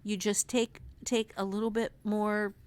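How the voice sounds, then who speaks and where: A woman co-hosting a podcast, giving casual advice in a deliberate, emphatic way. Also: mid-range voice, level tone, darkish tone. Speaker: podcast co-host and writing teacher.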